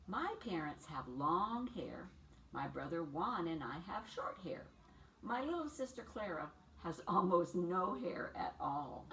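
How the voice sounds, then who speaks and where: A woman's voice: on the low side, big pitch swings, measured pace, fairly bright tone. A woman teacher doing a read-aloud for young children, talking to them in simple, encouraging phrases and questions. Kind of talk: read-aloud story time and instructions to small children. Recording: distant mic with clear background noise.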